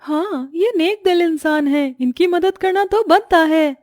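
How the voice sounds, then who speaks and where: A woman's voice: very high voice, unhurried, no echo, soft background music, pitched above the performer's own voice. A woman voicing a kindly, secretive talking magic onion in a cartoon, in a conspiratorial manner. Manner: hushed, conspiratorial. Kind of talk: cartoon story voice acting.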